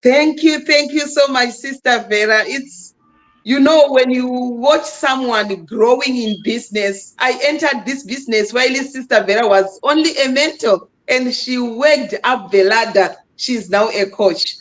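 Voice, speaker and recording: A woman's voice: fairly high pitch, unhurried, low-quality recording. A woman from Zimbabwe hosting a live stream panel, speaking emphatically and urging the listeners on. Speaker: livestream host. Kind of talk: casual live stream talk.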